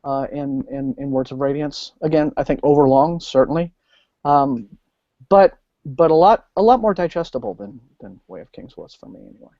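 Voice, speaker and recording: Halting man on a dull, dry call. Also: a little high, no echo. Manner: halting, hedging, thinks aloud. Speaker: host of a book discussion liveshow. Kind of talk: casual unscripted book discussion. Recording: dull, lo-fi online call audio.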